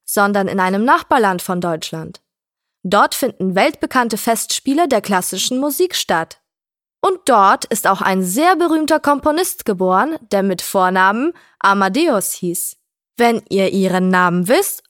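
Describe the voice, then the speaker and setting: Woman, fairly high voice, children's radio presenter, upbeat, addresses kids, dry close sound. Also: a bit bright, no room sound. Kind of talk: scripted presenting.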